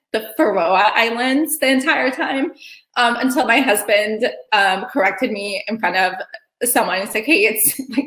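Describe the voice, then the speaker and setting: A woman from Kosovo, Albanian her first language, hosts a video call in a bright, forward voice. She talks chattily, full of ums and restarts. The sound bounces around an echoey room. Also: a little high. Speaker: event host. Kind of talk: casual online meeting chat.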